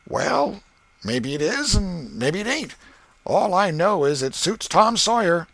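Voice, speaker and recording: A man's voice: sits high, very animated, slow, a bit bright, no echo, pitched above the performer's own voice, exaggerated voice. A man voicing a sly, cool schoolboy in a storybook reading, with folksy rural dialect and hesitant protests. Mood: feigned nonchalance. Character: sly, carelessly cool schoolboy feigning reluctance.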